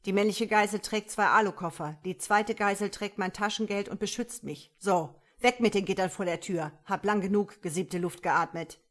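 A woman's voice: medium pitch, moderate pace, few pauses, fairly bright tone, natural voice. A woman voicing a brazen, sardonic male bank robber who barks commands in an audiobook. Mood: commanding, sardonic. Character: brazen masked bank robber, sardonic man barking demands. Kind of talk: audiobook character voice.

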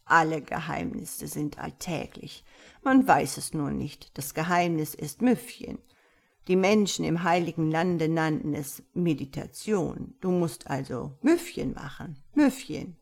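A woman voicing a wise, patient tomcat in a storybook, speaking in a measured, gently instructive and slightly pompous way. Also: sits low, creaky, heavily acted. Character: wise, patient male tomcat, calm mysterious mentor. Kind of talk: storybook character voice.

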